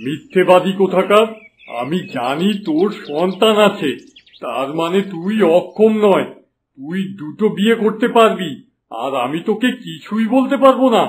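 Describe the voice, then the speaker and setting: A man's voice: sits high, animated, normal speed. An actor voicing a stern, commanding village headman in a cartoon, scolding with authority. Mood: angry, threatening.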